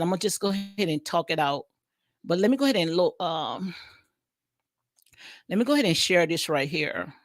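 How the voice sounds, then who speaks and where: A woman's voice: on the low side, dry recording. A woman with a Southern US accent giving rambling casual commentary on a reality show during a livestream, full of fillers, restarts and repeated phrases. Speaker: commentary vlogger.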